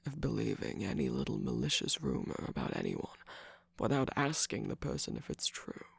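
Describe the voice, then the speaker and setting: A performer voicing a smug, seductive villainous cookie radio host in an ASMR romantic roleplay, slow, coaxing and teasing, with a breathy, gravelly voice that often drops to a whisper. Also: medium pitch, wildly swooping pitch, no room sound, heavily acted. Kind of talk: ASMR romantic roleplay, scripted character acting. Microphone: close microphone, dry.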